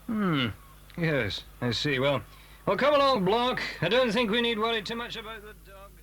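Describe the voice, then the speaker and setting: Sly, swooping British gentleman. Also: high-pitched, animated, normal speed. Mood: sly, thoughtful. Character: inquisitive, smoothly sly gentleman with veiled menace. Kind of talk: 1980s British children's audio drama acting. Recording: faintly noisy cassette dramatisation.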